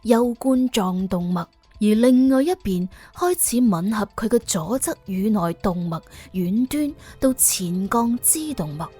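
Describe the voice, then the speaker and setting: A woman's voice: mid-range voice, mellow, husky. A woman with a Cantonese accent reading a scripted suspense audiobook as its narrator.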